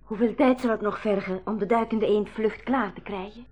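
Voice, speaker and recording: A woman with a Flemish accent playing an optimistic, expressive young sister on an expedition, in a 1980s school radio drama. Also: mid-range voice, normal speed, lightly acted. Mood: concerned.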